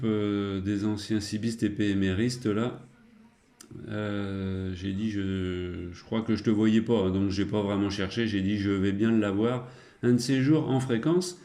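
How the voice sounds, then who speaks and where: Low, mellow-voiced man chatting casually. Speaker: amateur radio operator. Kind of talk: casual radio contact chat.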